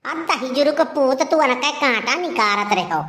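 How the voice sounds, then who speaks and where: A woman's voice: sits high, lively intonation, moderate pace, fairly bright tone. A woman voicing a melodramatic, scolding village woman in a comedy cartoon, with an Awadhi accent from eastern Uttar Pradesh. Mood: furious scolding. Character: loud village women, wailing and scolding over a cheating husband.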